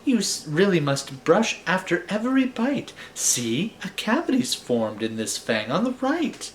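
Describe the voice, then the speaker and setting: A man voicing a cheerful female dentist, sweet and lilting, gently scolding in a storybook tale. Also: sits high, very animated, measured pace, slight background noise, pitched above the performer's own voice.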